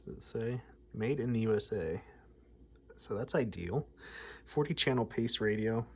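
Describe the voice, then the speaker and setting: A man's voice: on the low side, expressive, a little slow, slight background noise. An American man talking informally and thinking aloud as he narrates a hands-on hobby repair video. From United States, Midwest. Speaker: radio hobbyist presenter.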